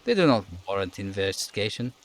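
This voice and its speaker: A man voicing a world-weary, curt and dismissive police lieutenant for a video game, in a measured, matter-of-fact way. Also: lowish voice, big pitch swings. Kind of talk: amateur video game role voice acting.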